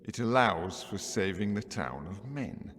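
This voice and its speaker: An actor playing a grim, world-weary healer in a video game, brooding and dramatic, fatalistic yet defiant. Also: male voice, on the low side, expressive, unhurried, a bit bright. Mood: grave. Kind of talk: video game cutscene acting. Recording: long hall reverb.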